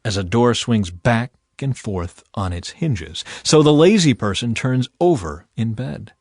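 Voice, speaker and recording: A man narrating scripture as a dramatized reading, with a theatrical, emphatic and deliberate delivery over background music. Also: medium pitch, lively intonation, darkish tone, no echo, studio quality. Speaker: Bible narrator.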